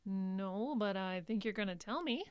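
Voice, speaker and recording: An actress playing a weary, reluctant young care worker in an audio drama, with hesitant, understated replies. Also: female voice, mid-range voice, lively intonation, moderate pace, clean tone, dry recording, studio quality, natural voice. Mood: skeptical. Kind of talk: live audio drama acting, naturalistic.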